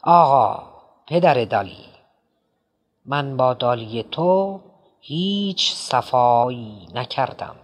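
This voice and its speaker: A man reading an audiobook, voicing a rattled, eccentric middle-aged man who pleads and mocks in a jittery, high-strung way. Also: lively intonation, lightly acted. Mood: pleading. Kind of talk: audiobook character voice.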